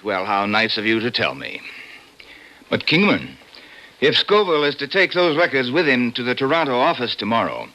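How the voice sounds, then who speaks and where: Sharp, bullying radio-drama boss. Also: male voice, mid-range voice, very animated, moderate pace, rough recording. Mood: angry, sarcastic. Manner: curt, scornful. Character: bullying, sarcastic company vice president, hostile boss. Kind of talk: old-time radio drama acting.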